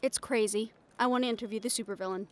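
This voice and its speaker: Girl acting as a cocky young lady reporter in an audio rp, kinda high voice, sarcastic n exasperated, bit of noise behind. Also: fairly even intonation, fast talker, close to an everyday voice. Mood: frustrated, sarcastic. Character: brash, stubborn, ambitious young female newspaper reporter. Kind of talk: improvised audio roleplay acting.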